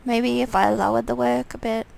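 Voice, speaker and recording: A woman's voice: on the high side, steady tone, moderate pace, gravelly, darkish tone. A woman streaming a visual novel game, reading its text aloud casually and sometimes stumbling over phrases and repeating them.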